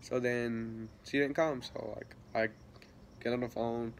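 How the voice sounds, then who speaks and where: A man's voice: mid-range voice, steady tone, measured pace, gravelly, a little hiss or hum. Rambling teenage guy telling a story. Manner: rambling, many fillers and restarts, soft laughs. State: a little sick. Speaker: vlogger. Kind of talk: casual storytelling vlog.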